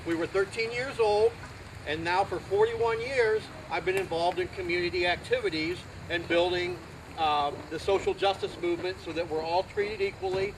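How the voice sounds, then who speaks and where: A loud, animated man in his fifties stands outdoors giving a volunteer's speech at a garden ceremony. His voice sits high for a man. Traffic and street sounds run clearly behind him. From Ohio. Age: 50s. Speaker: community volunteer. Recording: outdoor recording with traffic noise.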